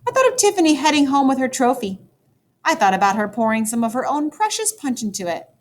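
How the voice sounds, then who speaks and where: A woman teacher reading a children's story aloud, with expressive, dramatic emphasis for young listeners. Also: high-pitched, fast. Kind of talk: animated children's book read-aloud.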